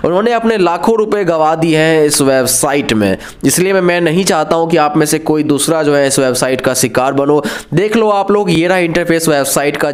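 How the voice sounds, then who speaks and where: A young man on a lapel mic in a small indoor studio, explaining an online scam straight to the camera in an earnest, conversational warning tone. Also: on the high side. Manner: earnest, warning, conversational Hindi-English mix. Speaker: scam-awareness YouTuber. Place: small indoor studio with star-light backdrop.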